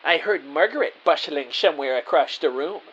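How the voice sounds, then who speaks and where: Audio drama lead: man acting a naive, excitable detective narrator, theatrical and earnest, husky mid-range voice with big pitch swings. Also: moderate pace, highs cut off. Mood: earnest narrating. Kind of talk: first-person scripted audio drama narration.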